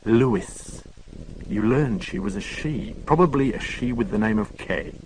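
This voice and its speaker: A man's voice: medium pitch, big pitch swings, a little slow, gravelly, darkish tone, a little hiss or hum, rough recording. A man reading an audiobook, voicing a dry, sardonic middle-aged detective inspector.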